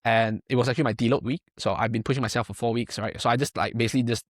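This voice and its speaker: A man's voice: mid-range voice, fairly even intonation, very fast, no echo. Rambling young man coach, dry close sound. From Malaysia. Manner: rambling, many fillers and tag questions. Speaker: powerlifting coach. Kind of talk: conversational podcast interview.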